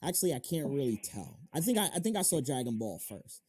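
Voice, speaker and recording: A man in his thirties with an American accent, hosting a casual interview and telling stories in animated, slangy talk. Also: medium pitch, fairly quick, husky, no echo, studio quality. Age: 30s. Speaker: interviewer and channel host.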